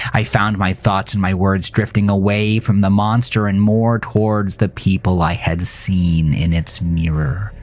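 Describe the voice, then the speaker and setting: A man acting as a haunted, regretful narrator, telling a first-person horror story in an intense, deliberate way over music. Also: low-pitched. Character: haunted, regretful man recounting his fight with a monster. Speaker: narrator and host of a horror audio drama.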